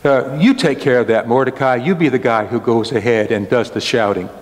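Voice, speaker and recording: A man's voice: medium pitch, brisk, natural voice. A man voicing an oblivious, folksy king in a comic Bible tale, stammering and offhand. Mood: pleased, offhand. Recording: echoey hall, long reverb.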